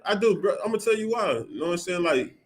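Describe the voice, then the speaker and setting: A man hosting a casual podcast chat, talking in a relaxed, loose way with frequent restarts and filler phrases. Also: high-pitched, fast, a loud crowd. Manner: laid-back, many restarts and "you know what I'm saying". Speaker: podcast host.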